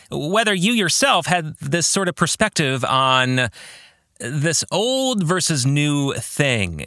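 Middle-aged American male podcast host, pastor type. Slow, very animated pitch, reflective and questioning delivery. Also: dry recording, clean studio sound. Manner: poses reflective questions to the listener. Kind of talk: reflective book discussion podcast.

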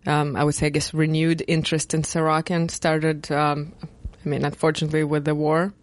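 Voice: medium pitch, completely flat delivery, a little fast, no room sound. A podcast host, speaking hesitantly with many fillers and restarts. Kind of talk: casual podcast interview.

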